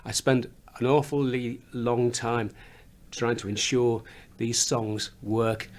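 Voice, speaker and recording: A songwriter with a slight Liverpool accent and a fairly high voice, chatting in a studio interview and laughing often as he explains how he writes songs. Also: flat delivery, takes it slowly. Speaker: songwriter and musician. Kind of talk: radio interview chat. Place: recording studio.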